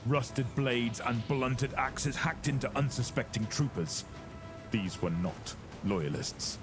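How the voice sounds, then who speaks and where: A man's voice: mid-range voice, slow pace, music in the background. A man narrating a scripted horror audio drama in a dramatic storytelling style.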